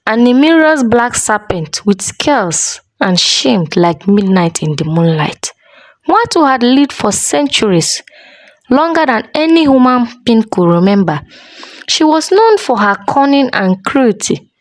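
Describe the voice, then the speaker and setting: A woman storyteller narrating a scripted folktale in a measured, dramatic way with deliberate pauses. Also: a little high, dry recording, rough recording.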